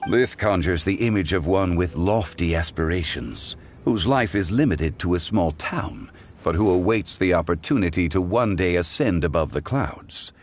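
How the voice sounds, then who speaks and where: An actor playing a dignified, learned gentleman judge in a video game, speaking formally and eloquently in measured phrases. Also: sits low, expressive, slight background noise. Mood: calm, scholarly.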